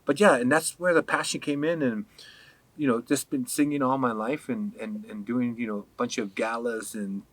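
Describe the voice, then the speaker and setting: A man from Hawaii, a podcast guest, chatting casually and telling animated stories, laughing often. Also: medium pitch, normal speed, no room sound. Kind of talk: casual interview chat.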